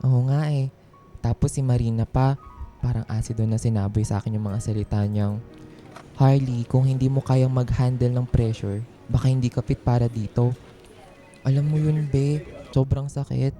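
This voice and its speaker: A man's voice: mid-range voice, steady tone, moderate pace, mellow, faint music behind, lightly acted. A man playing a downtrodden, self-doubting office worker, plaintive and hurt, in a radio drama. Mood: hurt, despairing. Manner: plaintive, hurt, deferential to bosses.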